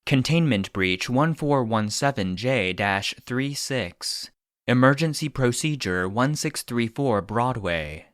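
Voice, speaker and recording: A man voicing a database narrator, reading secret records in a formal, clinical, deadpan manner. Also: medium pitch, slow, no echo, clean studio sound. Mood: impassive.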